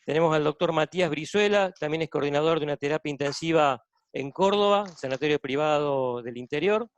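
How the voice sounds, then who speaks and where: A man's voice: fairly high pitch, a little fast, clean tone. A man with a Buenos Aires Argentine accent, hosting a medical webinar and introducing guests on a video call. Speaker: webinar host, cardiologist. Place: hospital intensive care unit.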